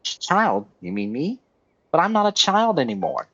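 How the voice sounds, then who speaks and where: A man's voice: on the high side, wildly swooping pitch, unhurried, a bit bright, husky, no echo, muffled recording, full character voice. A man voicing a small, indignant, childlike female companion in a video game, pouty and full of questions. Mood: indignant, questioning. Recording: music behind the voice.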